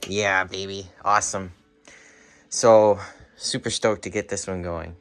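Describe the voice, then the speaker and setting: Guy in his 30s w a deep voice, talks slow and informal, kinda thinking out loud on his phone at home. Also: fairly even intonation, over music. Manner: halting, informal, thinking aloud. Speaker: hobbyist repairer showing his work. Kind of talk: casual, unscripted repair vlog. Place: carpeted room at home. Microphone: handheld phone, at arm's length.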